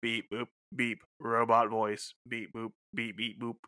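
A comic robot, acted out by a man, talks in a stilted, mechanical monotone. It is flat and subdued, yet bright in tone, and recorded dry and close. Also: medium pitch, a little slow, dry recording, voice pushed down. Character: beeping monotone robot speaking in binary.

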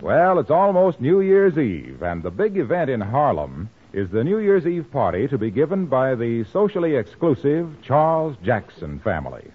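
Lively 1940s male radio announcer, muffled. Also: medium pitch, normal speed, hardly any pauses, breathy edge, faint music behind.

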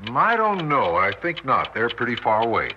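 An actor playing a weary, steady American father who explains things in a measured, plain-spoken way in a radio drama. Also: medium pitch. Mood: calm, reassuring. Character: weary, steady, reassuring father sheltering his family underground. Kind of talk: 1960s radio drama acting. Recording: muffled 1960s radio recording, noisy.

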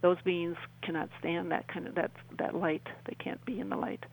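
An older woman, around her sixties, talking as an interview guest in a halting, rambling way and often rephrasing mid-sentence. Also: fairly low pitch, level tone, rough recording. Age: older, about 60s. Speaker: interview guest, UFO experiencer. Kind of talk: conversational radio interview storytelling.

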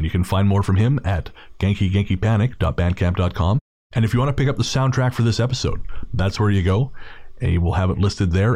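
Low-voiced man hosting a podcast. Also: darkish tone. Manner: informal, chatty. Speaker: podcast host. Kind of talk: casual podcast outro with thanks and plugs.

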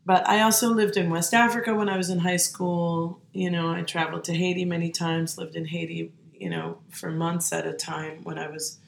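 A woman from the New York area answering interview questions in an unhurried, thoughtful way with frequent pauses. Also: lowish voice, vocal fry, roomy sound. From United States, New York and New Jersey. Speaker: musician, interview guest.

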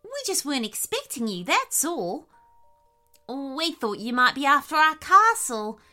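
Woman doing an anxious, bright-voiced wombat. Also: high-pitched, sing-song, a little slow, faint music behind, voice pushed up, heavily acted. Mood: wary. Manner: hasty, blurting. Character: wombat, anxious blurting bluffer, small animal.

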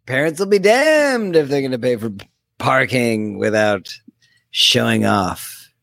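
A man's voice: medium pitch, lively intonation, measured pace, vocal fry, a bit bright, no echo, studio quality. A man co-hosting a comedy podcast, making crude wisecracks in casual banter. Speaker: podcast co-host.

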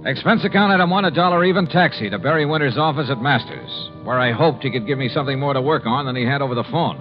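Brisk wry male investigator played by an actor, clipped delivery, old radio drama, dull vintage recording, music behind. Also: mid-range voice, close to an everyday voice. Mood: wry, businesslike. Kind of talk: 1950s radio drama acting, first-person narration and dialogue.

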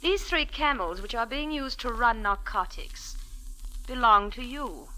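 A woman's voice: on the high side, animated, unhurried, heavy background noise. A woman playing a poised, defiant undercover narcotics agent in a 1940s radio drama, speaking in cool, formal phrases. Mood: commanding, smug. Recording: vintage 1940s radio recording.

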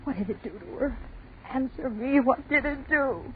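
Woman acting terrified young woman, high voice, hysterical pleading, 1940s radio horror style, muffled old broadcast. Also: normal speed, a little hiss or hum, natural voice. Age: young adult. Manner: breathless, pleading, overwrought.

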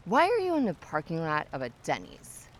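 A woman's voice: low-pitched, wildly swooping pitch, fairly quick, a little hiss or hum. A woman playing a tipsy, nosy and pushy young neighbour in a comic audio drama, chatty, teasing and insistent. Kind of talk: audio drama comedy acting.

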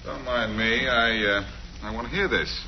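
An actor playing a cool, wisecracking detective with a glib, teasing manner in 1940s radio drama style. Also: male voice, medium pitch, normal speed, noisy background, close to an everyday voice. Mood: smoothly needling. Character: wisecracking, cool amateur detective, suave and flippant. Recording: muffled old-time radio recording.

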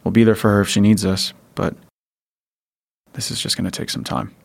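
A man's voice: lowish voice, level tone, brisk, vocal fry. A man playing a weary, protective survivor father in an audio drama, plain-spoken and earnest. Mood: quiet, caring. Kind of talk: naturalistic audio drama acting.

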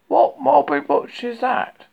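A man doing a high, innocent little bear. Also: steady tone, unhurried, creaky, dull audio, exaggerated voice. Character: cheerful, simple-minded male teddy bear.

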